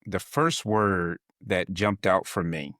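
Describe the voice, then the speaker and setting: A low-voiced man hosts a casual interview in a dry, close-miked sound. He thinks aloud with restarts, telling stories from his own working life before he puts his question. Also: fairly even intonation, a bit bright, dry recording. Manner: searching, halting, with restarts and reflective anecdotes. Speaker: podcast host.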